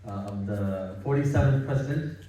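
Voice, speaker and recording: Man from Bangladesh, relaxed home religious talk, warm tone, heard from afar. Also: on the low side, moderate pace, a little hiss or hum. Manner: relaxed, repetitive, sprinkled with religious phrases. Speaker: halaqah speaker. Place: home living room gathering. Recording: echoey room, far from mic.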